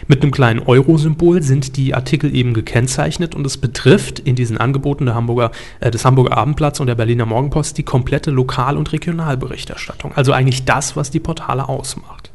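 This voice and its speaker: A man's voice: medium pitch, fairly even intonation, quick pace, vocal fry, hardly any pauses, darkish tone. A man hosting a media talk show, explaining things step by step in a conversational way with rhetorical questions.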